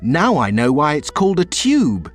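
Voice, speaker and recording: A man reading aloud who voices a clever, matter-of-fact young girl in a storybook, bright and explaining. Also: fairly high pitch, wildly swooping pitch, normal speed, soft background music, voice pushed up. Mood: knowing.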